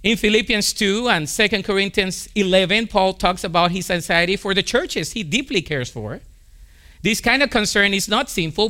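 A man's voice: high voice, pushed and bright. A man with a Colombian accent preaching a sermon, emphatic and repeating key lines for emphasis. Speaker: pastor.

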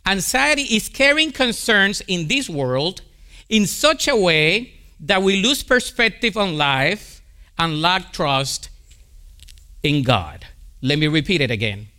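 A man's voice: sits high, sing-song, slow, pushed and bright. A man with a Colombian accent preaching a sermon, emphatic and repeating key lines for emphasis. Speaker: pastor.

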